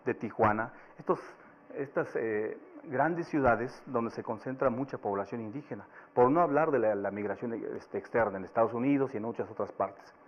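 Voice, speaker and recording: A man from Chiapas whose first language is Tzeltal, speaking Spanish with a Mexican accent as a panelist, giving an academic presentation at a measured, explanatory pace. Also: medium pitch, muffled recording. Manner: measured, explanatory, with occasional fillers.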